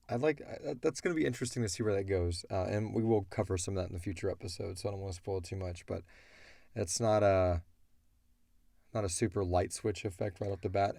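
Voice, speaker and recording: A man's voice: fairly low pitch, fairly even intonation, brisk, gravelly, warm tone, no echo. A man answering listener questions as an audio drama creator, talking casually and bluntly as he thinks aloud.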